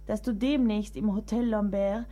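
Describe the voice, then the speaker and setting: An actress playing a frank, teasing, perceptive woman novelist in a historical radio play, composed and gently mocking. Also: medium pitch, steady tone, moderate pace, natural voice.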